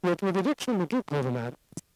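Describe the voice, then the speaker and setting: A man's voice: high voice, sing-song, fast talker, darkish tone, no echo, rough recording. A man from Singapore with a mixed international accent, giving a seated, conversational lecture as an addiction expert. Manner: conversational, explaining and qualifying.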